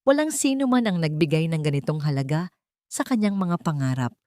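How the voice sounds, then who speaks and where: A woman's voice: sits low, expressive, a little fast, mellow, dry recording, clean studio sound. A woman narrating a scripted romance story as a dramatic storyteller.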